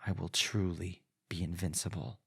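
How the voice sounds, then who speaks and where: A man's voice: low-pitched, fairly even intonation, moderate pace, gravelly, a bit bright, breathy edge, no echo, clean studio sound, full character voice. A man playing a sinister, arrogant vampire lord in a fantasy audio drama, pompous and taunting, with theatrical delivery. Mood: smug, menacing. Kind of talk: villain acting in fantasy audio roleplay. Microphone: close, dry studio-style mic.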